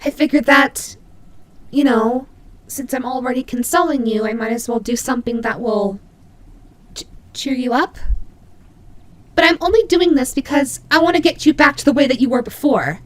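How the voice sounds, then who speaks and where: A woman's voice: high-pitched, normal speed, long pauses, lightly acted. A woman playing a prickly but caring female nightmare demon rabbit in an audio roleplay, speaking to the listener with hesitant, teasing, flustered delivery. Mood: flustered, tsundere.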